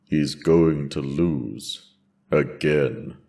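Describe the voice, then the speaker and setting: A man's voice: very deep voice, drawn-out words, creaky, a little mellow, heavily acted. A man voicing a stern, watchful dragon companion with a dry manner in a fantasy audio drama. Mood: dry, appraising. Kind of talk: audio drama character dialogue.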